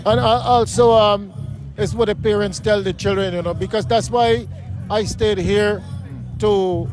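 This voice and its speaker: A man's voice: sits high, steady tone, unhurried, darkish tone, soft background music. Guyanese man, emphatic street talk. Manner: emphatic, repetitive, keeps asking "you know what I mean?". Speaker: interviewee. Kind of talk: street interview, casual talk. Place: outdoors on a city street.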